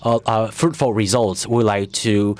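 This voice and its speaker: Man interpreting a speech live, halting. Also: lowish voice. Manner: halting, with frequent fillers and restarts. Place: conference hall.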